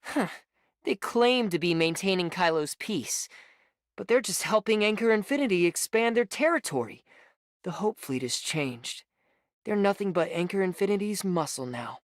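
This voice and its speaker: A woman's voice: mid-range voice, lively intonation, moderate pace, no echo, clean studio sound, natural voice. An actress playing an outspoken, opinionated townswoman in an anime game, talking emphatically. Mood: disdainful. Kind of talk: English anime game voice acting.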